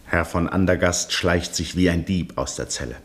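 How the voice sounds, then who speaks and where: A man with an extremely deep voice narrates a vintage radio play. His delivery is scripted, grave and precise, with a literary tone. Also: normal speed.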